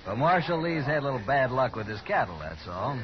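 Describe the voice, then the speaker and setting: A man's voice: mid-range voice, sing-song, a little fast, close to an everyday voice. An American man acting a smug, troublemaking ranch foreman with a sneering, mock-innocent manner in a 1950s radio drama. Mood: sneering, dismissive. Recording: muffled 1950s radio recording, saloon crowd.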